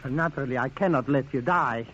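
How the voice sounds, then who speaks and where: A man's voice: medium pitch, a little fast, a bit bright, natural voice. Oily, mock-courteous male henchman, played by an actor, greedy and sly, 1940s radio, muffled with faint hiss. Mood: oily, amused. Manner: oily, mock-polite, chuckling. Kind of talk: 1940s radio drama acting. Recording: dull, hissy old radio recording.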